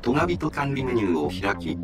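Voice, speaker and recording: An emotionless male android warden, acted by a man, speaks at a middle pitch over loud background music. The delivery is formal, measured and procedural, like official game instructions given to a prisoner under escort. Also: big pitch swings, lightly acted. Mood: formal, impassive. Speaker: guide and instructor. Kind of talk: scripted video game dialogue, formal announcements.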